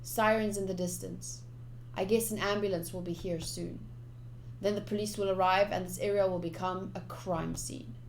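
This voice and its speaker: A woman's voice: mid-range voice, fairly even intonation, moderate pace, slight background noise, rough recording. A woman streamer reading visual novel text aloud, with casual commentary of her own. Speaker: game streamer. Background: game music.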